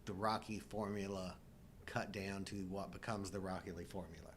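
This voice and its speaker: A man's voice: sits low, steady tone, normal speed, fairly bright tone. A middle-aged man co-hosting a live show in casual conversation about films. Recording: faint background noise.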